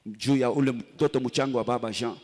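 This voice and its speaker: A man's voice: medium pitch, steady tone, normal speed, darkish tone. A man interpreting a sermon live in short, emphatic bursts, in a large echoing hall. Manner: emphatic, echoing the preacher's delivery. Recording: reverberant hall sound.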